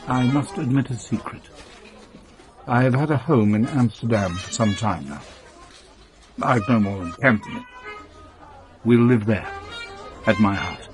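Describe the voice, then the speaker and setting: An actor in a British radio drama playing a secretive, wealthy smuggler and devoted suitor, earnest and courtly. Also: male voice, on the low side, a little slow, long pauses, music in the background, lightly acted, low-quality recording. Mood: persuasive, confiding.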